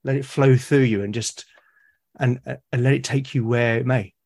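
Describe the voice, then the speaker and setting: A man's voice: medium pitch, steady tone, moderate pace, darkish tone. A British man, a podcast guest, talking in a reflective, casual way and often restarting and repeating words mid-sentence. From Britain.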